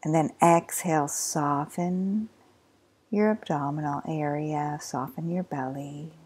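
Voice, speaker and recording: A woman teaching a guided yoga nidra relaxation, giving slow, soft body instructions with long pauses. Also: low voice, husky. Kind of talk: guided meditation.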